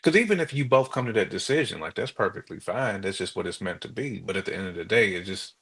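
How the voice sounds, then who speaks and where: Deep-voiced man chatting on a podcast. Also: lively intonation, fast, creaky, a bit bright, slightly rough. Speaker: podcast co-host. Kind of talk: casual conversational discussion.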